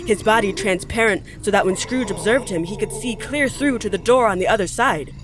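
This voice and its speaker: A woman playing a wry, theatrical storyteller, narrating an audio play with deliberate emphasis. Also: mid-range voice, over music, lightly acted. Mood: wry, suspenseful.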